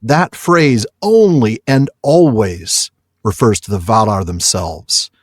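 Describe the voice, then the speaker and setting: A man's voice: on the low side, slow, no echo, clean studio sound. A man co-hosting a podcast, talking casually and with effusive enthusiasm about a book. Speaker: podcast co-host.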